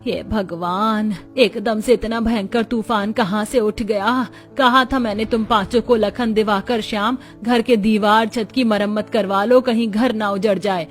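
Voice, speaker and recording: A woman's voice: mid-range voice, steady tone, unhurried, hardly any pauses, faint music behind, close to an everyday voice. A woman playing poor, dutiful and resourceful village housewives in a cartoon story. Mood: alarmed, then scolding. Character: poor village women, dutiful resourceful daughters-in-law and scolding mother-in-law.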